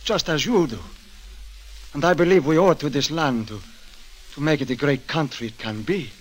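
An actor as gentle, courteous rancher. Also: male voice, fairly high pitch, normal speed, close to an everyday voice, low-quality recording. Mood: earnest, conciliatory. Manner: gentle, apologetic, formal. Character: humble, honorable old Californio rancher, courteous and conciliatory. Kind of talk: 1940s radio drama acting.

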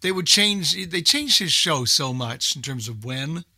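Older American male for casual talk radio, emphatic and repetitive, forceful bright tone, close dry sound. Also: fairly high pitch, lively intonation, a little slow, no room sound. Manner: emphatic, repeats himself for emphasis. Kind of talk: casual group chat.

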